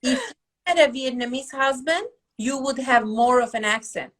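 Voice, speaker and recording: A middle-aged woman from Turkey with a Turkish accent, hosting a casual podcast chat in an animated, emphatic way. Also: high voice, moderate pace. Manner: animated, emphatic, asks rhetorical questions. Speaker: academy host.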